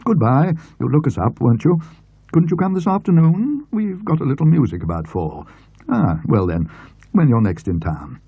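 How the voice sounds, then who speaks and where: Narrator as a breezy, lively English gentleman. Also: mid-range voice, normal speed, vocal fry, husky, voice pushed up, exaggerated voice. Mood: brisk, sociable. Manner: breezy, talkative, rambling. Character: chatty, self-absorbed bearded gentleman book-hunter. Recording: muffled, roomy old audio recording.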